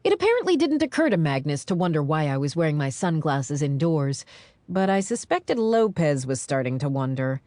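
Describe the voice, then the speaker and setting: A woman narrating a dramatized audiobook in the first person, with wry, expressive storytelling. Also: deep voice, normal speed, no room sound.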